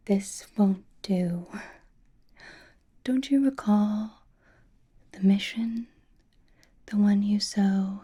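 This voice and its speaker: A woman voicing a doting, teasing vampire in a breathy ASMR whisper, coy and theatrical, with lingering phrasing. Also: mid-range voice, dark tone. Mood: teasing, flirtatious. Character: flirtatious, doting, teasing female vampire companion.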